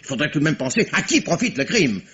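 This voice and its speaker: A man's voice: a little high, very animated, fast talker, fairly bright tone, muffled recording. An actor playing an indignant, argumentative criminal lawyer in a 1950s-style French radio mystery, theatrical and pompous in his protests.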